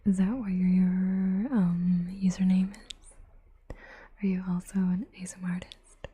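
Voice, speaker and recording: A woman hosting an ASMR livestream, chatting softly to viewers in a breathy whisper with unhurried asides. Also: on the low side, flat delivery. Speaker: livestream host, ASMR artist.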